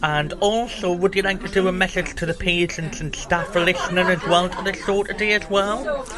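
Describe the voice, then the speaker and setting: A man's voice: sits high, steady tone, normal speed, poor audio. A man hosting a hospital radio show, interviewing a guest in an upbeat, enthusiastic way. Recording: crowd chatter in the room. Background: crowd chatter.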